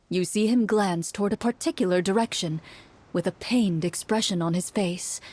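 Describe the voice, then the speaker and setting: A woman's voice: mid-range voice, a little mellow, lightly acted. A woman playing a game master, narrating a fantasy adventure in a scripted video game with dramatic, articulate storytelling.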